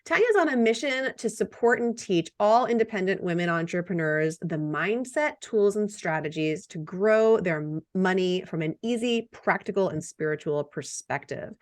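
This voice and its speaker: A woman hosting a podcast interview, reading her guest's introduction and then chatting in a relaxed, conversational way. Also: medium pitch. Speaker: podcast host.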